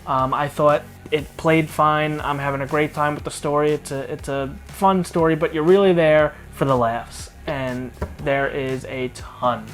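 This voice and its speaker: A man's voice: on the high side, steady tone, measured pace, few pauses, faint music behind. A man co-hosting a casual podcast chat, laid-back and hesitant, with frequent filler sounds and casual swearing. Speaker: podcast co-host.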